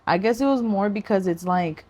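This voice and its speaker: A woman's voice: mid-range voice, moderate pace, warm tone. A woman co-hosting a podcast in casual, joking chat, mixing some Spanish into her English. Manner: joking, laughing, mixes Spanish into her talk. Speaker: podcast co-host.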